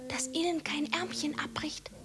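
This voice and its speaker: A woman's voice: sits high, normal speed, natural voice. An actress playing a feverish, deranged young girl in a radio drama, murmuring dreamily and repetitively. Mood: entranced, whispering. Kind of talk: 1950s German radio drama acting.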